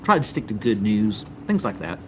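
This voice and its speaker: A man's voice: fairly low pitch, big pitch swings, normal speed, noisy background. A man from the United States hosting a live stream, chatting casually and reading viewer comments in an easygoing, rambling way with many ums.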